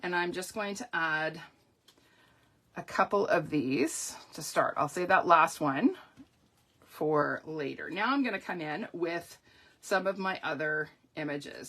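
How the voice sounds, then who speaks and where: A woman demonstrates card making on a live stream, explaining each step as she works and chatting with viewers. Her voice is fairly low and husky, with a slight croak. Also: expressive, a little slow, bright, forceful tone. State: voice going croaky. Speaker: papercraft tutorial host.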